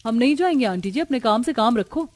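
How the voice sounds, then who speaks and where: A woman's voice: a little high, expressive, fast, a little mellow, lightly acted. A woman voicing a defiant, spoiled young daughter-in-law in a cartoon, brash and dismissive. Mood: brazen, dismissive. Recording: music under the voice.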